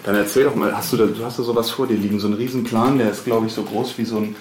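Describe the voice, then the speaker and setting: A man's voice: lowish voice, steady tone, racing, a little mellow. A man hosting a casual on-location interview in an office. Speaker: podcast host interviewing staff. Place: indoors in an office. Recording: echoey room, clear background noise.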